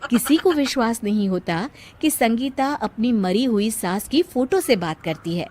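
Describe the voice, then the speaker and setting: A woman's voice: on the high side, animated, unhurried, a little mellow, slight background noise. A woman reading scripted narration for a cartoon moral story as a storyteller.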